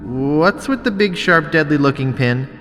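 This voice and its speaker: An actor playing a wimpy, wisecracking young male pirate adventurer in a video game, wry, earnest and excitable. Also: on the high side, moderate pace, soft background music. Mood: naive curiosity. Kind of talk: video game character acting. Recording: long cavernous reverb.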